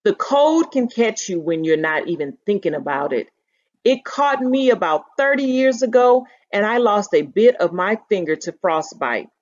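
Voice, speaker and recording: A woman reading the lines of a lively, well-travelled old seaman in a storybook, with a reflective storytelling manner. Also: medium pitch, normal speed, lo-fi sound, natural voice. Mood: matter-of-fact recollection.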